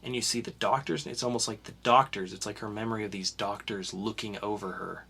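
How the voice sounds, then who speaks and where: A man's voice: fairly low pitch, fairly even intonation, fast talker, vocal fry, pushed and bright, husky. A young man co-hosting a podcast and chatting casually about comics, rambling with lots of fillers and restarts. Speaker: podcast co-host reviewing comics. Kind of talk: casual chat.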